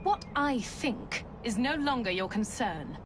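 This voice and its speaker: An actress playing a scornful Victorian villainess with an imperious, mocking manner in a video game cutscene. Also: female voice, on the high side, big pitch swings, measured pace. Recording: game dialogue over clear background noise.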